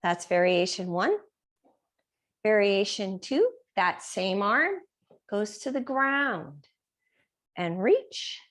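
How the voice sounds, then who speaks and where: A woman teaching a yoga class and guiding poses step by step. She is losing her voice and keeps clearing her throat. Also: medium pitch, expressive, slow pace, pauses often. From Reno area, United States. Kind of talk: guided yoga class instruction.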